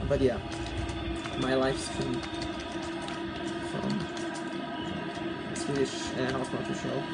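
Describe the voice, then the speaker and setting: A man's voice: mid-range voice, rough recording. A man whose first language is Polish hosts a casual live stream. He rambles with many fillers and restarts and greets chat viewers by name. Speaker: livestream host. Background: music playing.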